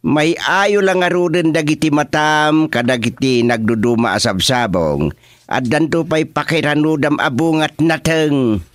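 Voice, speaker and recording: A man's voice: a little high, unhurried, lightly acted. An actor playing a plain-spoken, easily exasperated village chairman in a rural comedy radio drama. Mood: agreeable.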